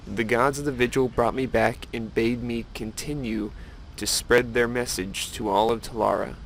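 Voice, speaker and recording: A man's voice: medium pitch, slight background noise, close to an everyday voice, low-quality recording. A man voicing a devout, sorrowful female elf priestess as he reads game quest dialogue aloud in an earnest way.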